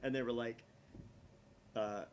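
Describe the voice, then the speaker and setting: A man's voice: medium pitch, fairly even intonation, slow pace. A man chatting casually in a nerdy, rambling way as a gaming host, swearing freely. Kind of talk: casual chat while voicing a visual novel. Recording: faint background noise.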